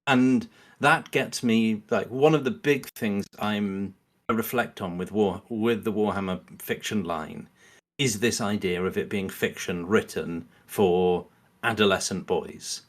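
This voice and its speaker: A man's voice: on the low side, a little slow. A man speaking as a podcast guest in a casual, analytical discussion, often rephrasing himself as he thinks aloud.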